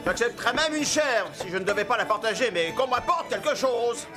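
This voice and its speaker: A man's voice: very high voice, expressive, brisk. A man voicing a blustering, gluttonous, haughty cartoon traveller who complains in a pompous, exaggerated way. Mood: famished, outraged. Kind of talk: French cartoon voice acting. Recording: music behind the voice.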